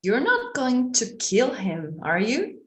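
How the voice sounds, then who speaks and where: A woman's voice: fairly high pitch, huge pitch swings, takes it slowly, heavily acted. A woman playing a self-reliant, unimpressed neighborhood woman in a screenplay table read. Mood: concerned. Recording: faintly noisy home recording.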